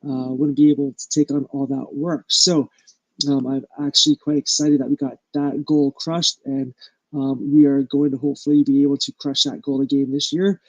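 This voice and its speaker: A man with a stuffy nose, hosting a casual live stream about his small business and talking haltingly with lots of ums and uhs. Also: medium pitch, level tone, dry recording, muffled recording.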